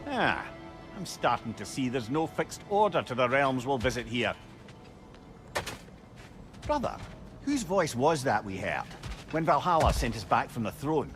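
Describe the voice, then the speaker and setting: An actor playing a wry, talkative old adviser, wordy and philosophical, in a video game. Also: male voice, medium pitch, very animated, measured pace, long pauses, music in the background. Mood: inquisitive. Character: wry, talkative, counselling old male companion. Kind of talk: dramatic video game acting.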